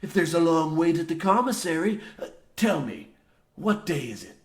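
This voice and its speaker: A man playing a gruff old coal mine foreman in an old-time radio comedy, blasé and folksy in archaic dialect. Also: on the high side, animated, moderate pace. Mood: blustering, indignant. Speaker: radio play actor.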